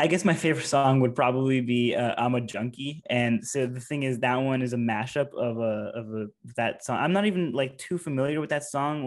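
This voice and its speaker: A man co-hosting a podcast, chatting enthusiastically with many casual fillers. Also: medium pitch. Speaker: podcast co-host. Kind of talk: casual chat.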